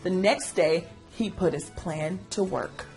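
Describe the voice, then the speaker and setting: A woman storyteller reading aloud for children, animated and engaging listeners with questions. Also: fairly low pitch, slow, creaky, music in the background, poor audio. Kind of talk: expressive children's read-aloud narration.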